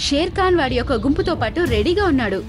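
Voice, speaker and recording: High-pitched, lively woman storyteller. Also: very fast, music in the background. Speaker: narrator. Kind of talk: scripted children's story narration.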